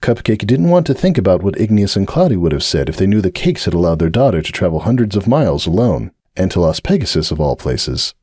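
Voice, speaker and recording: Man, low voice, animated storytelling, dramatic fan fiction reading, dry close mic. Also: quick pace, hardly any pauses, breathy edge, no room sound. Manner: expressive storytelling. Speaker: narrator, reader.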